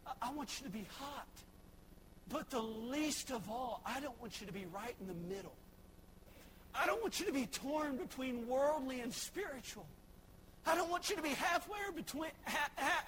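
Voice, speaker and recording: A young man preaching a sermon, swinging from shouted exhortation to soft, folksy asides. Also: extremely high pitch, slight background noise. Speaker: pastor.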